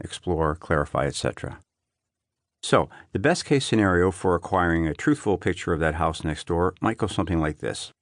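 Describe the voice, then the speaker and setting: A man with a low-pitched voice narrates written prose for an audiobook. He phrases each clause clearly and keeps a measured delivery. The sound is close and dry, free of room reflections. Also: no echo. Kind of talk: scripted essay narration.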